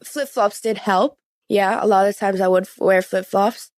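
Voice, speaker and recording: Female, late teens, podcast guest. Frank, informal teen talk, mid pitch, close dry sound. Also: steady tone, normal speed, a little mellow, dry recording. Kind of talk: personal interview, recounting experiences.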